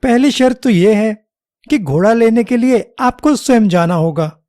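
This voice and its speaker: A clever, deferential minister from a cartoon fable, acted by a man. He speaks slowly and politely, with measured care, in a dry recording. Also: sits high, expressive, darkish tone, no echo. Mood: sly, polite. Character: clever, respectful, loyal male royal minister. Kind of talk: animated children's moral story dialogue.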